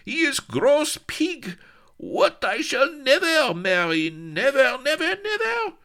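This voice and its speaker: A man voicing a haughty, hot-tempered teenage Spanish noblewoman with a put-on Spanish accent, shrill and theatrical. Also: a little slow, pushed and bright. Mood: furious.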